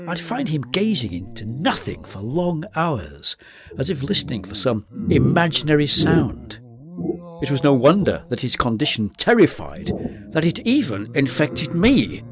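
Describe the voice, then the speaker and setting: A man acting a solemn, melancholy gentleman narrator in a literary gothic radio drama. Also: mid-range voice. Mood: mounting dread. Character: melancholy gentleman narrator recalling his friend's descent into madness.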